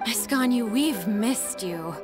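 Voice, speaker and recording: A woman's voice: a little high, animated, takes it slowly. A woman playing a weary, adoring young clerk in a video game, dramatic and deferential. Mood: adoring relief. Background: game music.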